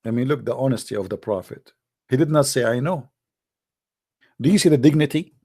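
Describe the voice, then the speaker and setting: A man's voice: mid-range voice, fairly quick, a little mellow, slightly rough. A man hosting a call-in show, speaking English as a second language with emphatic, mocking sarcasm. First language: Arabic. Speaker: show host. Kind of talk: live call-in show commentary.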